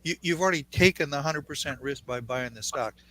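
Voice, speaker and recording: A man's voice: a little high, fairly quick, bright, forceful tone, slight background noise, low-quality recording. A man on a live finance panel who explains points emphatically, repeating words as he goes.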